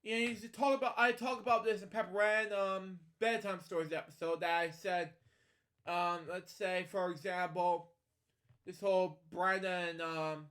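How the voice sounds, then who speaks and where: A man with a fairly high voice hosts a casual storybook read-along. He wanders off into opinionated asides and speaks straight to his listeners. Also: steady tone, normal speed, a bit bright. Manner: digressive, opinionated commentary addressed to listeners. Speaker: podcast host.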